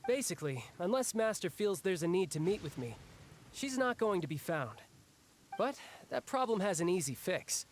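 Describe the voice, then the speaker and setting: Breezy, higher-pitched young warrior. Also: male voice, big pitch swings, normal speed, a little hiss or hum. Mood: determined. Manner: casual, boyish, wry. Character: breezy, cocky young warrior man, restless and battle-hungry. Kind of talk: anime video game voice acting.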